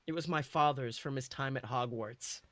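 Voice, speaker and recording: A man's voice: mid-range voice, moderate pace, fairly bright tone, no room sound. A man, a podcast co-host, reading fan fiction aloud in a dramatic storytelling style.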